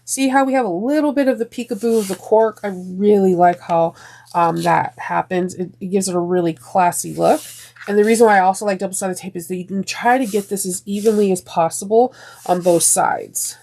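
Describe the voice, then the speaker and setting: A woman walks through a sewing project in a chatty, rambling way, often stopping on ums and restarts. Her voice sounds a little deeper and stuffy from a sinus infection. A faint hiss of noise sits under the recording. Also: fairly quick, mellow. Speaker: sewing tutorial host. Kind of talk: step-by-step sewing tutorial.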